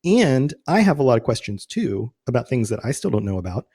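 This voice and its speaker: Male podcast host, mid-range voice, explains game lore in relaxed conversational style. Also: mid-range voice, a little fast, breathy edge. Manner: conversational, explanatory, quotes imagined reactions. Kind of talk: casual podcast chat about video game lore.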